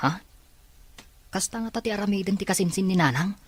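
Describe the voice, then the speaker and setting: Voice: mid-range voice, quick pace, slightly rough, no echo, lightly acted. An actor playing a grown child who confides in family and friends in an Ilocano audio drama. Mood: surprised, hopeful.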